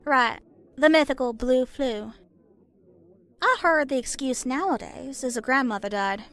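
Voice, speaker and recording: A woman voicing a nosy, pushy female meerkat journalist who talks in a sharp, sarcastic way. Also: high-pitched, measured pace, a faint crowd, pitched above the performer's own voice. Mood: snide.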